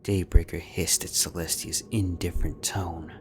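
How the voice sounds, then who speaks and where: A man narrating a fan-fiction audiobook in a measured, steady storytelling manner. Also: low-pitched, slightly rough, faint music behind.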